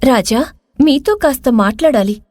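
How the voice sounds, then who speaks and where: Lady doing a stubborn bossy queen mom for a moral story, high voice, really animated and insistent. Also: unhurried, dry recording, studio quality. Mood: demanding, defiant. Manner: insistent, imperious. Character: stubborn, ambitious younger queen and mother. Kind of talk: dramatized moral story dialogue.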